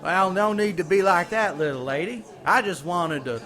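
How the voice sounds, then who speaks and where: An actor playing a brash, flirty redneck truck driver in an audio drama. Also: male voice, high-pitched, moderate pace, sharp tone. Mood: cocky, flirty.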